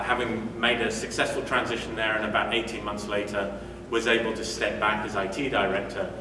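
A British man from the UK in his forties giving a conference talk in a hotel meeting room, conversational, with frequent fillers and restarts. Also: lowish voice. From United Kingdom. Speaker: conference speaker. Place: hotel meeting room, indoors, medium size. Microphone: room mic from a distance. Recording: echoey room, distant mic, noisy.

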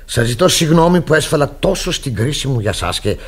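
A swooping, husky, fussy pastor. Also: male voice, a little high, sing-song. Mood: effusive. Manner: effusive, sermonizing, stammering when flustered. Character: fussy, naive, pompous middle-aged pastor, easily flustered. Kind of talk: radio theatre acting.

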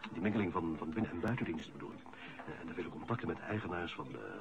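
An actor playing a resentful, stubborn office inspector in a radio play. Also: male voice, low-pitched, fast talker. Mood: defiant. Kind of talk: radio play acting, conversational. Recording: dull vintage radio play recording.

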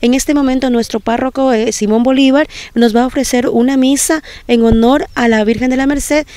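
A woman's voice: sits high, smooth, dry recording. Middle-aged woman talking into a reporter mic outside, earnest, stops and restarts a lot, clean dry sound. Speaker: community organizer. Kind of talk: on-camera interview answers. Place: outdoors at a military base event. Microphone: handheld reporter microphone.

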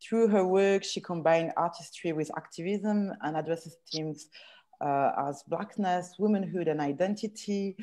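A woman moderates an online panel, her voice a little lower than most. She introduces the next guest through a laptop microphone, often repeating words before she finds the next one. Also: measured pace, dark tone. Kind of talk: introducing a guest on a video call. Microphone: laptop mic on a video call.

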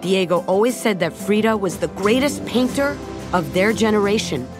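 A middle-aged woman narrating a children's storybook in a gentle, measured way, softening her voice at tender moments. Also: medium pitch, lively intonation. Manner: gentle, measured storytelling with soft dips in volume. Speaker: audiobook narrator. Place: recording studio. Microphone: close studio microphone. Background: background music.

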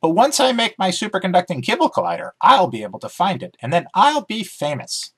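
A man voicing an eager, scheming female pet dog who argues excitedly about physics in a storybook reading. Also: high-pitched, brisk, slightly rough, voice pushed up, heavily acted. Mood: insistent. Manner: excitable, argumentative.

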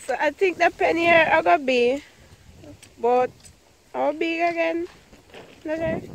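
Young Jamaican woman, high and bouncy, easygoing teasing vlogger, taking her time. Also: a little slow, heavy background noise. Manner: playful teasing, lots of laughing. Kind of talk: casual vlog chat. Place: outdoors on a grassy rural farm.

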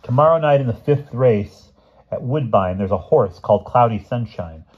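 A man's voice: medium pitch, lively intonation, moderate pace, husky, dull audio, voice pushed up. A man voicing a brash, undaunted schoolboy in a children's storybook, eagerly persuasive and respectful. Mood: eager pitching.

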